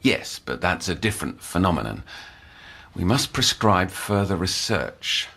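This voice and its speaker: Audio drama role: grave male counsellor, deep bass register, breathy rasp, clinical and measured. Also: big pitch swings, bright, forceful tone, exaggerated voice. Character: grave, analytical adult male psychiatric counsellor.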